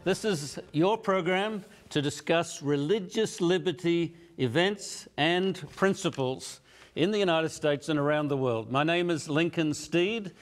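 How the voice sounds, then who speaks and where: Middle-aged male host, fairly high pitch, measured delivery that hesitates and chuckles. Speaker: host, magazine editor. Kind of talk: studio talk show discussion.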